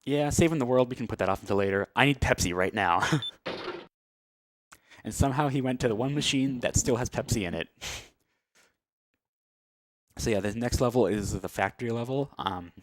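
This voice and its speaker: A man's voice: mid-range voice, moderate pace, pauses often. A man giving casual live commentary as a speedrunner on a video game, with amused, wry asides. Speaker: speedrunner commentating on own play. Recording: loud game music behind the voice. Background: loud video game music.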